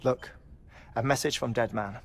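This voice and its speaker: A man playing an earnest, eccentric middle-aged scientist in a video game cutscene, lecturing deliberately with theatrical pauses. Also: mid-range voice, vocal fry, very noisy, lightly acted. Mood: grave, explanatory. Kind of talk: cinematic video game acting. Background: music.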